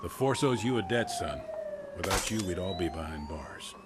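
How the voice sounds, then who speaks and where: An actor playing a casual, sardonic police officer in a video game, talking in an offhand way. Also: male voice, low voice, animated, normal speed. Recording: very noisy, sirens and outdoor ambience.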